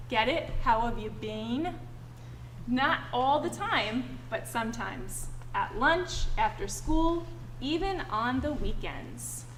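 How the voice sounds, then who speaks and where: A woman's voice: sits high, fairly bright tone. A woman, a teacher, reading a picture book aloud expressively and talking to young children with questions and simple explanations. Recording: echoey room, clearly noisy background.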